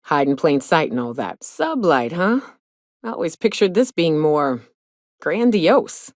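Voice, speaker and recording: A hard-bitten wilderness guide in a video game, pitched low for a woman and swinging freely in tone. She is blunt, cynical and plainspoken, with rustic turns of phrase and a close, dry studio sound. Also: sing-song, measured pace, darkish tone, dry recording. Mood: wry, weary. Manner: wry, plainspoken, swearing, folksy rustic phrasing. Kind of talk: video game character dialogue.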